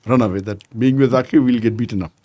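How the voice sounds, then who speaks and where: Man in his 60s, podcast guest, mellow warm tone, dry close sound, measured storytelling. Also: medium pitch, no echo. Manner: measured anecdotal telling, frequent "you know". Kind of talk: conversational storytelling interview.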